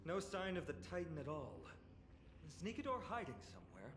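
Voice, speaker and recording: Sincere young male hero, thoughtful, slightly high. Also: wildly swooping pitch, a little fast, a little hiss or hum. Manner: warm-hearted, sincere, reflective. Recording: echoey, faint game music behind.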